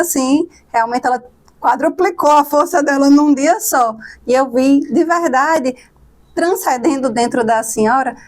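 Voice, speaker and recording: A woman hosting a podcast, talking enthusiastically and warmly with her guest. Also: high voice. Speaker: podcast host. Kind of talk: casual podcast conversation.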